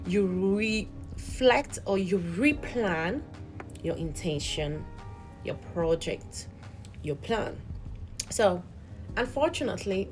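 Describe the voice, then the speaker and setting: Female host, lower register, earnest reflective motivational talk over soft background music. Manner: earnest, reflective, repeats and restarts words.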